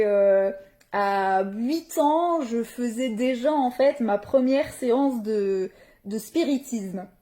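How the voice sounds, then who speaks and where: A young woman from northern France introducing herself in a gentle, hesitant way, with many filler pauses. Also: a little high. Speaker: spiritual page creator. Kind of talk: slow, hesitant personal introduction.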